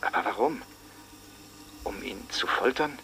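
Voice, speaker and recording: An actor playing a shaken small-town witness in a radio drama, speaking haltingly in short broken phrases. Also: male voice, on the low side, lively intonation, gravelly, noisy background. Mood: horrified, stunned.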